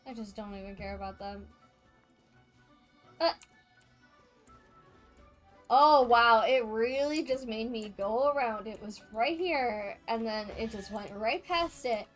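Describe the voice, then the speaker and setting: A woman's voice: a little high, takes it slowly, pauses often, soft background music. An American woman chatting casually with livestream viewers in slangy everyday talk while she plays a game. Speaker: game streamer.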